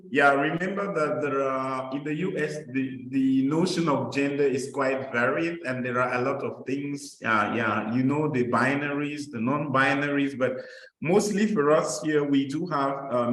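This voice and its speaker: Cameroonian male mentor, mid-pitched, patient explainer, filler-heavy, roomy echo on a video call. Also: fairly bright tone. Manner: explanatory, repeats points with examples, frequent uh fillers. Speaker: mentor presenting application guidance. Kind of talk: online presentation walking through a form.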